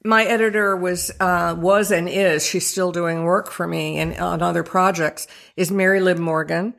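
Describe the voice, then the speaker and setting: Gravelly-voiced older woman author. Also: on the low side, steady tone, moderate pace, fairly bright tone. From Virginia. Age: 60s. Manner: thoughtful, hesitant with many fillers. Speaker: author guest. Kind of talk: radio interview.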